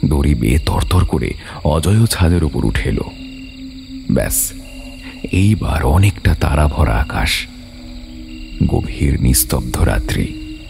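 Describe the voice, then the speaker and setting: Story narrator: man with an extremely low, heavily creaky voice, solemn and dramatic, music underneath. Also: animated, slow pace, pauses often.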